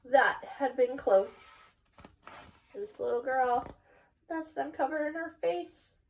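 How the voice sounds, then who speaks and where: A woman's voice: sits high, lively intonation, slow, distant mic, a little hiss or hum, rough recording. A woman reading a children's picture book aloud expressively, with chatty asides to young listeners. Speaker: story time reader, teacher.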